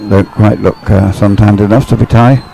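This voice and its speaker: A man's voice: on the low side, steady tone, normal speed, creaky, warm tone, soft background music, some chatter behind, rough recording. A man chatting through live sports commentary as the co-commentator. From Great Britain.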